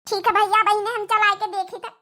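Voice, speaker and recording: A woman voicing an excitable young village sister in a cartoon comedy, with an Awadhi accent and gushing, eager delivery. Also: extremely high pitch, brisk. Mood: excited.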